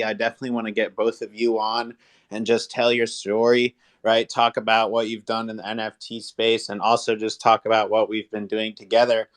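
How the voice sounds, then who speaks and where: Male co-host, lowish voice, very even intonation, casual promotional chat for a live online audio show. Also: clean tone.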